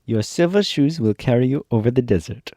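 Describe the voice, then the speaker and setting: A man's voice: mid-range voice, lively intonation, dark tone, no room sound, clean studio sound, voice pushed up, natural voice. A man playing a stately good witch. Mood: gracious. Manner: stately, slightly raised and slow. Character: good witch, gracious and commanding woman.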